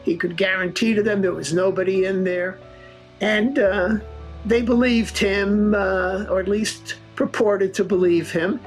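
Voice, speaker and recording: A high-voiced man retells a story in a documentary interview, in a loose, conversational way with small hesitations. Soft music sits faintly behind his voice. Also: fairly even intonation, unhurried, rough recording.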